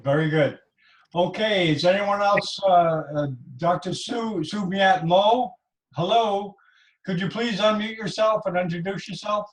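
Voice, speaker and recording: A man moderating an online meeting as host, haltingly calling on participants over a video call. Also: sits high. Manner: halting, calls on people by name. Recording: noisy video call with clicks.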